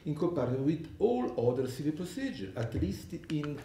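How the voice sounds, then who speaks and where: Conference speaker: Italian man, English not his first language, animated pitch, halting restarts, echoey hall mic. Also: recorded from a distance, a little hiss or hum. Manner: halting, many restarts and fillers. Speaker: panel speaker on law. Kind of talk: academic conference talk. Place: lecture hall.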